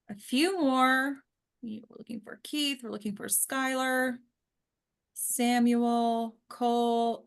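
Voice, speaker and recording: A woman hosting a table read, chatting casually and trailing off as she checks who has joined. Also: sits high. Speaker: organizer and host of an acting table read. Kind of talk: casual chat while waiting for participants.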